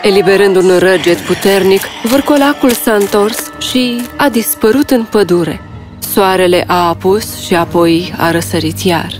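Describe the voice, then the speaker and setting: A woman's voice: mid-range voice, faint music behind. A woman reading scripted fairy tale narration as a storyteller.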